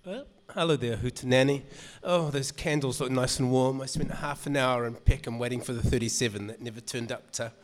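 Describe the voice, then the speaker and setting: A man's voice: medium pitch, brisk, slight background noise. A man with a New Zealand accent, an author telling a live audience in casual, rambling talk full of restarts. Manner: chatty and rambling, many restarts and "you know". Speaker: author reading at a literary event.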